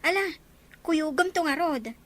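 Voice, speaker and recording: Actress as concerned, supportive young friend in radio drama; high-pitched female voice. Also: expressive, a little slow. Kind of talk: Ilocano audio drama acting.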